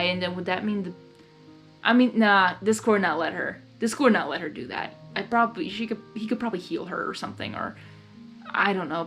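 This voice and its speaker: A woman reading fan fiction aloud, mixing expressive story narration with casual spoken reactions. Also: on the low side. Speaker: fan fiction reader and commentator. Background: faint music.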